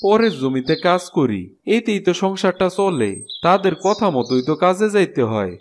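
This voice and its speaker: A man's voice: sits high, sing-song, moderate pace, slight background noise. A man playing a kindly, weary ageing farmer father in a cartoon, with a rural Bangladeshi Bengali accent. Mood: weary protest. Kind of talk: cartoon fairy-tale acting.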